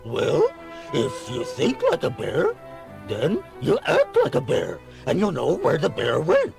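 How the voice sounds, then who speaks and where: A goofy talking dog on a 1970s children's cartoon record. The voice is growly and gravelly, leaping around in pitch for comic effect. Faint music plays behind. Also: male voice, huge pitch swings, a little slow, a little mellow, full character voice.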